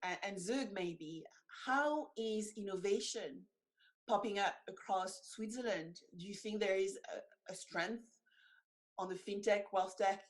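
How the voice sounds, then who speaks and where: A woman's voice: medium pitch, unhurried, rough recording. A woman hosting a webinar interview, asking questions in a welcoming, encouraging way. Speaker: webinar host.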